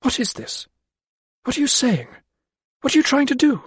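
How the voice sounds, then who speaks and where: Guy doing a frantic young lady, high and shrill, pleading and near tears, dry close audiobook read. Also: big pitch swings, a little fast, darkish tone, husky, no echo, exaggerated voice. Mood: bewildered. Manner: tearful, pleading, shrill outbursts. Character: distraught young noblewoman, desperate and pleading. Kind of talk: audiobook character voice.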